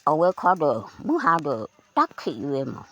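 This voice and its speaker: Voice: medium pitch, huge pitch swings, drawn-out words, poor audio. A person telling a folk tale aloud in a conversational way. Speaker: folk storyteller.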